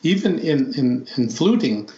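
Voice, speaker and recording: A man's voice: mid-range voice, expressive, dark tone. Thoughtful man, muffled and roomy. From South Dakota, United States. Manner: thoughtful, halting, repeats words. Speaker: podcast guest, flute player. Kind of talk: reflective conversation.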